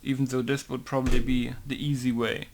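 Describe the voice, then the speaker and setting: A man's voice: mid-range voice, level tone, brisk. A man casually talking through a game modding tutorial in an informal, rambling way, swearing now and then. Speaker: game modder.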